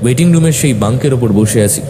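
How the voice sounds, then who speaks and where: A man narrating a first-person horror story in a suspenseful, expressive, dramatic way. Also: medium pitch, quick pace. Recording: faint background noise.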